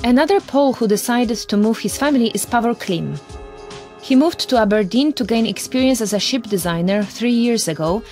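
A woman's voice: a little high, animated, darkish tone, soft background music. Polish-accented woman narrating a documentary. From Poland. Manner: measured, reflective storytelling. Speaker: documentary presenter.

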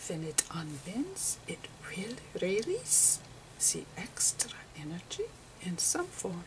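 Asmr lady whispering a lecture real slow, lots of little pauses, pitch kinda low. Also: wildly swooping pitch, noisy background. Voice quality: whispery, breathy. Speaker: ASMR performer playing a physicist. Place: close over a chalkboard on a table indoors.

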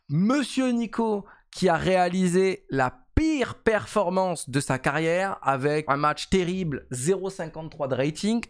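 A man in his thirties talks to camera about esports from his home streaming setup, speaking into a desk mic on a boom arm. His voice sits a little high for a man. He rushes along with plenty of fillers and repeated words. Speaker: esports YouTuber. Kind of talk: casual esports commentary to camera.

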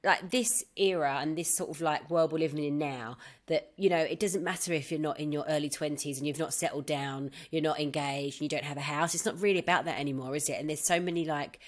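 Low-voiced woman in her thirties. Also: fast, hardly any pauses. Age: 30s. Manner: thoughtful, reads out listener questions and muses with fillers. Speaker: podcast co-host. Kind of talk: casual chat. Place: home, on a video call.

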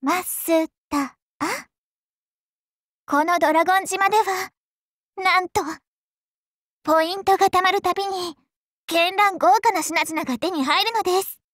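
Girlish game dragon princess, extremely high voice, unhurried, sweet, doting, theatrical, polite. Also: a bit bright, no room sound, clean studio sound. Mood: cheerful welcome. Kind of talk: Japanese mobile game character voice acting.

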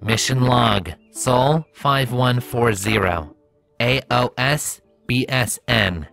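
A man's voice: mid-range voice, takes it slowly, vocal fry, fairly bright tone, soft background music, full character voice. A man voicing an earnest robot space rover that speaks in clipped, broken, machine-like phrases. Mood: matter-of-fact.

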